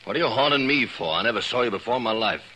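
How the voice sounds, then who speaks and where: Sharp, blustering western villain. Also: male voice, mid-range voice, lively intonation, racing. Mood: rattled. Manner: blustering, clipped. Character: slick, defensive male western villain, an ex-gambler. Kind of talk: 1950s old-time western radio drama acting. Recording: noisy 1950s radio recording.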